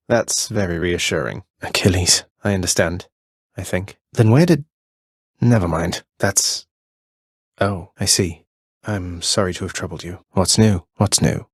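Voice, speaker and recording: Young underworld prince, deep low voice, wry, rebellious, understated, politely sardonic, dry close studio sound, video game dialogue. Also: male voice, dry recording. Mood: apologetic, then casual, businesslike.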